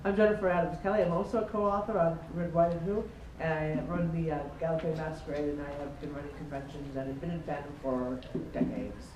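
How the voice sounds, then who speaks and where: A woman's voice: low-pitched, steady tone, fast talker, hardly any pauses. Opinionated woman on a panel, faint hum, echoey room. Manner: earnest, opinionated. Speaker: convention organizer panelist. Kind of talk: convention panel talk. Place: large convention hall. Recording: echoey hall, far from mic.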